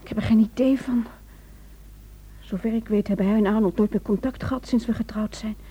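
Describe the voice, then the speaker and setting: An actress playing a worried, caring wife in a Dutch radio drama, soft-spoken and hesitant. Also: female voice, mid-range voice, fairly even intonation, a little fast, slightly rough, close to an everyday voice. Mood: hushed, confiding.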